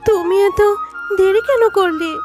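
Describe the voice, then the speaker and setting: Woman doing a worried weepy cartoon mom, super high voice, begging and plaintive, music playing behind. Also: unhurried. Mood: tearful, anxious. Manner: plaintive, pleading. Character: anxious, tearful, pleading young mother in animal fairy tale. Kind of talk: melodramatic cartoon dubbing.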